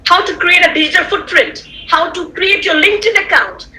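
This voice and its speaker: Woman lecturer, Tamil first language, high bright voice, animated and repetitive, faint background noise. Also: brisk, low-quality recording. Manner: animated, repetitive, rhetorical questions to listeners. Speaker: guest lecturer. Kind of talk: online lecture to students. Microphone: computer mic on a video call.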